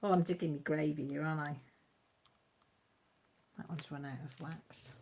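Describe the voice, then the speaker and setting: A woman's voice: sits low, fairly even intonation, normal speed, creaky, a little mellow, slightly rough. A woman with a Mid Wales Radnorshire accent, hosting a casual live chat on her channel, reading out comments and laughing often. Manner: chatty, homely, laughs often. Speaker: YouTube channel host.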